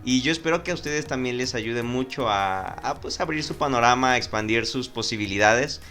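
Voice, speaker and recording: A man hosting an interview, halting and repeating words as he welcomes guests. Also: medium pitch, sharp tone, soft background music. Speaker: host.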